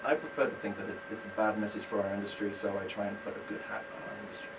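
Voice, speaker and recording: A man's voice: on the low side, racing, recorded from a distance, background noise. A British man, a business-owner guest on a radio talk show, explaining his work in a friendly, self-deprecating way. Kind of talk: casual radio interview.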